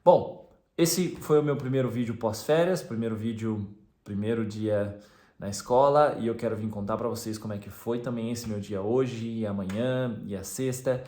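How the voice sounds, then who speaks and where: A man's voice: fairly low pitch, normal speed, darkish tone, reverberant. A young adult man from Brazil with a Brazilian Portuguese accent, talking casually to camera as a teacher, rambling with many fillers and restarts. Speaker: teacher and vlogger. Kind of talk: casual vlog monologue.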